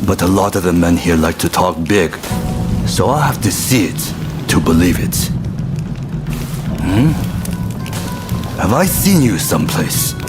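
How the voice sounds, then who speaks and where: Boisterous samurai captain, game cutscene; hearty, teasing, unhurried, widely swinging pitch, music underneath. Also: male voice, very animated. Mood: sizing up. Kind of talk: video game cutscene acting. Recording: music behind the voice.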